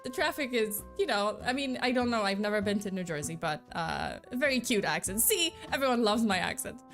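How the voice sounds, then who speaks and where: A woman's voice: medium pitch, animated, fairly quick, fairly bright tone, soft background music. A young woman with a slight mixed accent, not a native English speaker, rambling in a casual live-stream chat with lots of fillers. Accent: slight mixed non-native.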